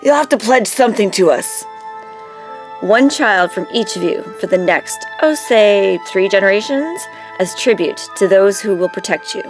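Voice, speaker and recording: A woman's voice: mid-range voice, expressive, normal speed, soft background music, natural voice, low-quality recording. A woman playing a sly, coaxing witch who bargains unhurriedly in an audio drama. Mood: coaxing, sinister.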